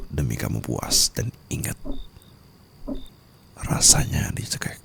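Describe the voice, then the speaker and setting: A man's voice: darkish tone, a little hiss or hum, heavily acted. A man playing a dominant, menacing rich husband in an ASMR roleplay, murmuring taunts intimately and slipping into whispers and English endearments. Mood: taunting, threatening. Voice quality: very deep, gravelly. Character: dominant, menacing wealthy new husband toying with treacherous bride.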